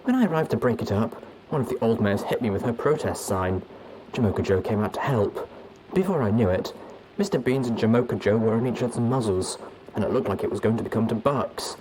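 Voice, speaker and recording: A man's voice: on the low side, animated, brisk, noisy background, lightly acted. A man voicing a weary, grumbling, folksy small-town lawman pony recording an audio log in a story. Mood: weary, exasperated. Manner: folksy, exasperated.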